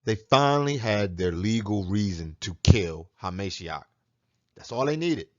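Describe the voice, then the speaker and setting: A man's voice: on the low side, expressive, unhurried, dry recording. A man teaching on scripture in a monologue, arguing his point with rhetorical questions. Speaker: religious teacher. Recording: dull lo-fi dry recording.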